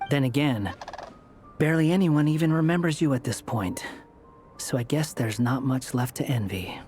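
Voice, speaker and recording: A man's voice: mid-range voice, normal speed, over music, lightly acted. An actor playing a proud, sardonic young man in a fantasy video game, with a dry, cutting manner. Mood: mocking.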